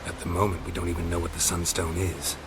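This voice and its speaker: Man voicing a very low, gravelly, deadpan monster hunter. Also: quick pace, noisy background, natural voice. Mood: guarded, probing. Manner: terse, deadpan, clipped sentences. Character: gruff, wry monster-hunter man, laconic and unflappable. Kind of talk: video game cutscene acting.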